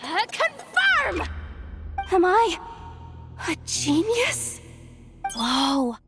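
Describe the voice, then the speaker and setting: A woman playing a plucky, hot-headed young tech tinkerer in a video game, with an expressive, theatrical delivery as if talking herself through things. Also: very high-pitched, drawn-out words, big-room sound, full character voice. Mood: excited, daring. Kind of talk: English video game voice acting. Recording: game music behind the voice.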